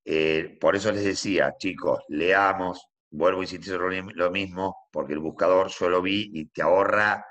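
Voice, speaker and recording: Low bright-voiced man joking. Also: fairly even intonation, moderate pace, hardly any pauses, highs cut off. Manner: joking, informal. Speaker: student. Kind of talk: banter in online class.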